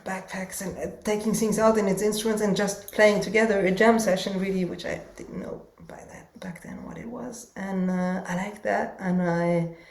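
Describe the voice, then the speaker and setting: A woman's voice: medium pitch, animated, normal speed, gravelly, few pauses, darkish tone. A woman from Germany, talking in an interview and searching for her words, with frequent restarts. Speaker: guest musician and teacher. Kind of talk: casual interview conversation. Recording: echoey room.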